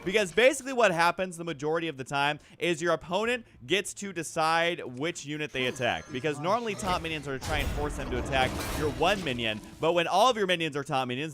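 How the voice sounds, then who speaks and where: A man's voice: on the high side, sharp tone. A man giving casual, self-deprecating commentary over gameplay as a video host, prone to joking exaggeration. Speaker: host of a gaming video channel.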